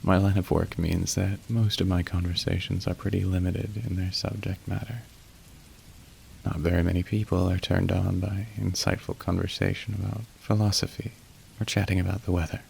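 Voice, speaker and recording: A man plays a demon phone operator for an ASMR roleplay, very low and gravelly. He is courteous and wry, sliding between suggestive polish and awkward apology, and his delivery stays narrow in pitch while rain falls behind him. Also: little pitch movement, moderate pace, darkish tone, slight background noise. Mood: casual, chatty. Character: suave, awkward young male incubus working a phone line. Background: falling rain.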